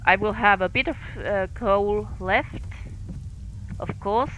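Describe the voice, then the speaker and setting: Slow, hesitant Finnish-accented woman streamer. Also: mid-range voice, fairly bright tone, low-quality recording. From Finland. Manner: halting, many fillers, thinking aloud while playing. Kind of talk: live game stream commentary. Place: home in France.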